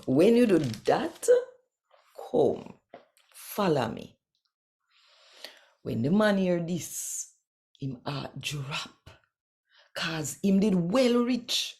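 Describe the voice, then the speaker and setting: A woman with a Jamaican accent, hosting and reading scripture aloud in a deliberate, dramatic storytelling way. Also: on the low side, big pitch swings, darkish tone. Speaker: channel host and Bible reader. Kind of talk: expressive scripture reading and direct address to viewers.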